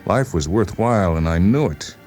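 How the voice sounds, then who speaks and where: A man voicing a defensive, suspicious psychiatric patient with an insistent, halting delivery in a radio story reading. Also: deep voice, animated, darkish tone. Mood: agitated, insistent. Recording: voice over background music.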